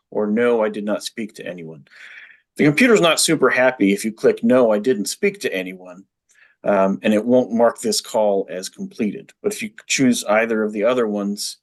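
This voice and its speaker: A man presenting a training webinar, explaining software step by step in a methodical way. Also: fairly low pitch.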